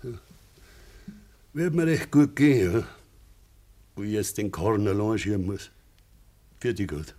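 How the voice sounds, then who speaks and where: An actor playing a stubborn, hot-tempered farmer with a Bavarian accent in a radio drama, gruff and commanding. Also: male voice, fairly low pitch, sing-song, unhurried, pauses often, warm tone. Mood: gruff, resigned. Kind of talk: German radio drama acting in dialect.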